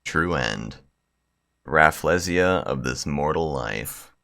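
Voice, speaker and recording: Very deep, gravelly-voiced man. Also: lively intonation, slow pace, sharp tone. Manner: blunt, crude, sweary joking. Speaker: gaming video host reading a visual novel aloud. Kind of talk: casual commentary and read-aloud narration of game text. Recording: music playing behind the voice.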